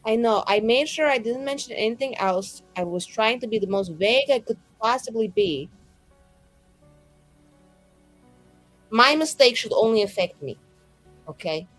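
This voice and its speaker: A fierce but fragile woman adventurer, improvised by a woman player in a tabletop game. She speaks in a high, casual voice and rambles dramatically, with self-deprecating humor. Faint music plays behind her. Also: expressive, moderate pace, a bit bright, no room sound, low-quality recording. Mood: defensive. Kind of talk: in-character improvised roleplay.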